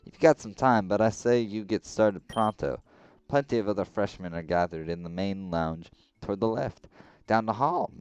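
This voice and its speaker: A man's voice: on the low side, a little mellow, clear, resonant tone, close to an everyday voice. A man reading the lines of a friendly, pushy young hall guide in a game. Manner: chummy, pushy. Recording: slightly dull lo-fi recording.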